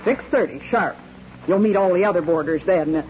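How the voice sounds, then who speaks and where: A woman's voice: lowish voice, moderate pace, close to an everyday voice. Actress as a homely, practical radio landlady. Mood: homey, friendly. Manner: homey, motherly, firm. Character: homely, practical widowed boarding-house landlady. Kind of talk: 1940s radio drama acting. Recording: dull, noisy old radio recording.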